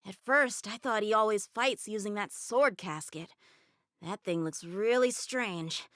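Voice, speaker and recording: A woman's voice: medium pitch, a little slow, fairly bright tone, dry recording, studio quality, close to an everyday voice. A woman voicing an eager, earnest teenage boy swordsman in a game, talking in a boyish, cheerful way. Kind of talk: game character voice lines.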